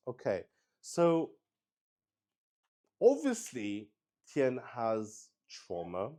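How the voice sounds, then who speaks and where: A man's voice: lowish voice, dark tone. A man improvising narration for a solo tabletop roleplaying game, thinking aloud hesitantly and often repeating his words. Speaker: solo roleplay host and player.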